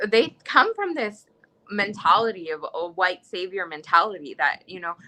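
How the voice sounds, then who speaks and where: A woman's voice: fairly low pitch, animated, normal speed, bright, forceful tone, rough recording. A teenage girl with an American accent, a guest in a casual interview, talking with passion and emphasis in long run-on stretches. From United States. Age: teens. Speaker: interview guest, youth nonprofit director.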